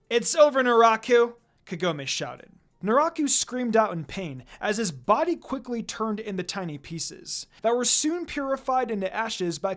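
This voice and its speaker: Expressive woman narrating a story. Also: mid-range voice. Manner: dramatic, expressive reading. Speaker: fan fiction narrator.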